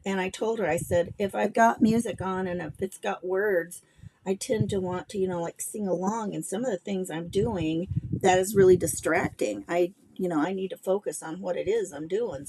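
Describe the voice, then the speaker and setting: A middle-aged woman with a gruff voice, chatting and rambling casually as she hosts a craft livestream. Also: mid-range voice, darkish tone, poor audio. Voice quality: gravelly, gruff voice. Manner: chatty and rambling, thinking aloud while working. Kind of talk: casual craft demo chat.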